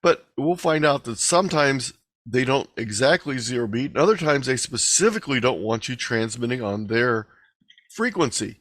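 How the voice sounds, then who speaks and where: A man presenting an instructional webinar lecture with slides, explaining in a measured, deliberate way over a video call microphone. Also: medium pitch, lively intonation, fairly bright tone, no room sound.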